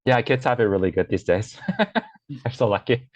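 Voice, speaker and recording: A man's voice: mid-range voice, moderate pace, dry recording, highs cut off. A man in a casual interview, talking hesitantly with lots of fillers and restarts. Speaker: podcast guest.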